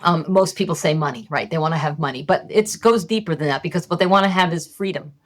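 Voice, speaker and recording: Brief: middle-aged woman, low voice, candid podcast guest explaining ideas. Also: fast. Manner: casual and candid, occasional swearing. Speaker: guest, hypnotist and medium. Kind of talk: podcast interview, conversational teaching.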